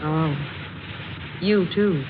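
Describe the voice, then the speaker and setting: A well-mannered but proud young job seeker in a vintage radio play. Her voice is low for a woman, guarded and gently wry. The old recording is muffled, with clear noise. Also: very slow. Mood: guarded, curious. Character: polite, proud, jobless, hungry young woman. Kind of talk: 1940s radio drama acting.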